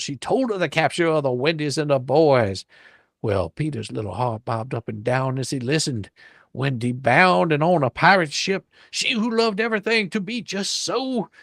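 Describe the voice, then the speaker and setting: A man's voice: mid-range voice, vocal fry, fairly bright tone, no room sound, studio quality. A man reading a storybook dramatically, with theatrical delivery and playful, folksy, ungrammatical embellishments. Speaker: reader, storyteller.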